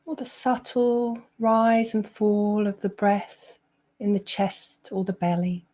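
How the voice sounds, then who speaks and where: A woman leads a guided meditation at a slow pace. Her voice sits at a middle pitch. She speaks gently, with long pauses between her instructions. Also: level tone. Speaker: meditation teacher.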